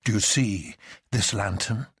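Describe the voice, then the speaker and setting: A man voicing an impassioned, defiant gentleman swordsman in a dramatised novel reading, declaiming theatrically. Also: lowish voice, unhurried, husky, dry recording, clean studio sound, exaggerated voice. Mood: righteous fury.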